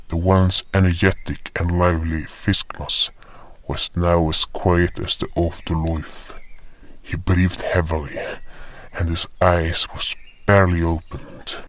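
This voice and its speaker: A man playing a remorseful furred frostborn creature, narrating his own fantasy tale in a solemn, dramatic manner. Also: low-pitched. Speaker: narrator and voice actor. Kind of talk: first-person dramatic fantasy narration in character. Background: music.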